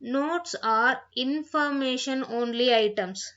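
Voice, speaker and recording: A woman giving an instructional software tutorial, reading out points and repeating phrases as she explains them, on a dull, lo-fi microphone. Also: high voice, slow. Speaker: software trainer.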